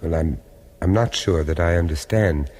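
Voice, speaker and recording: An actor playing a polite, quietly curious gentleman in a radio horror drama, hesitant and courteous. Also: low voice, moderate pace. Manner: hesitant, courteous, murmuring interjections. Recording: long reverberant echo on 1970s radio recording.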